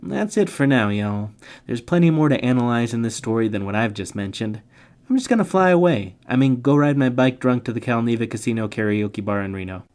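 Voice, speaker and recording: A man's voice: medium pitch, animated, a little fast, a little mellow. A man reading a scripted narration in a playful, tongue-in-cheek way, slipping slangy comic asides into it. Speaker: narrator of literature summary videos.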